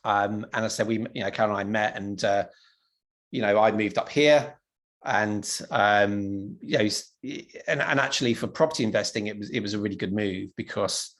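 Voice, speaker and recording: A man from London giving an online property presentation in a relaxed, conversational way, with lots of ums and restarts. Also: fairly low pitch, normal speed. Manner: casual, many ums and restarts.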